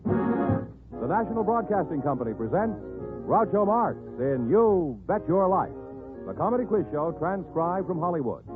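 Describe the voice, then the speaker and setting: A man's voice: sits high, sing-song, moderate pace, phone-quality sound, over music. A man announcing a show in 1950s radio style, on an old broadcast recording.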